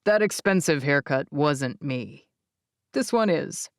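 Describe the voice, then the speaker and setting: Casting: female narrator as laid-back guy in his twenties for a novel, understated, independent, lower register. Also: animated, slow, dry recording, clean studio sound, heavily acted. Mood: casual, dismissive. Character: easygoing, independent young man, twenty-seven, grandson.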